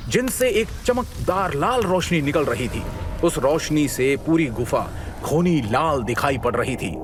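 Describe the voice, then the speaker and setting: A man narrating a scripted fantasy audio drama in an expressive, suspenseful storytelling manner. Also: a little high.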